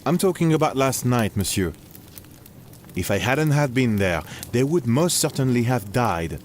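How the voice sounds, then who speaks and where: A man acts a dutiful night guard in an audio drama. He is earnest, plain-spoken and deferential, with an average-pitched voice. Some noise sits behind the speech. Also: very animated, normal speed, natural voice.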